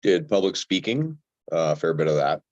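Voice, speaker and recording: A man's voice: sits low, sing-song, normal speed, darkish tone, dry recording. A man introducing himself casually in short, understated sentences. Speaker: personal trainer, newcomer to acting. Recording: dull, lo-fi microphone sound.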